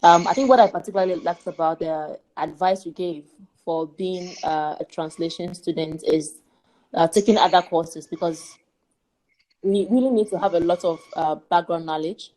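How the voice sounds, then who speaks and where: A woman hosting a podcast, asking friendly, curious questions in a relaxed interview. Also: lowish voice, normal speed, low-quality recording. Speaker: podcast host.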